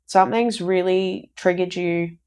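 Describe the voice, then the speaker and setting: A woman giving a casual tarot reading for viewers, croaky from a recent flu, rambling and repeating herself. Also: lowish voice, fairly even intonation, measured pace, darkish tone. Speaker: tarot reader.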